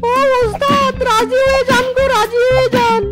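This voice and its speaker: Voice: very high-pitched, steady tone, moderate pace. An actor voicing a small, timid male bandit sidekick in a cartoon, whiny and fawning, with music behind. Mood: wailing. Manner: whiny, anxious, wheedling. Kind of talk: cartoon voice acting.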